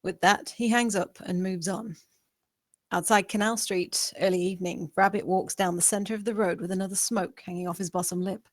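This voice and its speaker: A woman's voice: mid-range voice, steady tone, a little fast, no room sound, clean studio sound. A woman reading out screenplay stage directions as scripted narration.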